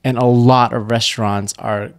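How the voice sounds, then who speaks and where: A man's voice: medium pitch, steady tone, normal speed, no echo, studio quality. A man in his thirties from Malaysia, co-hosting a podcast in a casual, playful chat into a tabletop microphone. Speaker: podcast co-host. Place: small indoor room at a table. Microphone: tabletop podcast microphone on stand.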